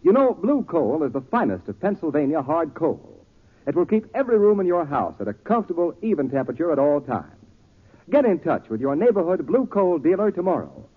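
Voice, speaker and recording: A man announcing a 1940s radio show and pitching its sponsor in an emphatic, declamatory scripted delivery. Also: very animated, fairly quick, breathy edge. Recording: tinny, muddy 1940s radio recording.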